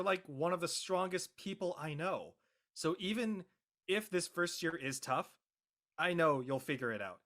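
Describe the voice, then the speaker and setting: A young actor playing a devoted, gently teasing friend in a drama, casual and reassuring. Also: male voice, a little high. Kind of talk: acted dialogue in an audio-led play.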